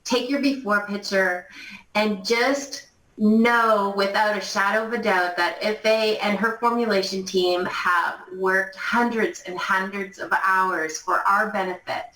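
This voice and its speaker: A woman's voice: medium pitch, fairly even intonation, a little slow, bright, forceful tone, slight background noise. A woman in her mid fifties giving an unscripted testimonial in a gushing, emphatic, enthusiastic way. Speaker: company distributor giving testimonial. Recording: roomy, echoey, distant mic.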